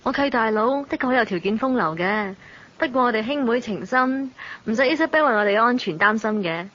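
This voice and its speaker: A cheeky, curious young female student in a radio drama. Her voice is fairly high and has a Hong Kong Cantonese accent. The sound is slightly dull. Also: expressive, brisk, a bit bright. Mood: playful, curious. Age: young adult. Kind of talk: radio drama narration and dialogue. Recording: dull lo-fi audio.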